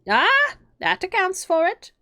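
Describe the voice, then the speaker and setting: A woman voicing an excitable, theatrical male hatter with a booming, drawn-out delivery. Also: gravelly, dry recording, studio quality, full character voice.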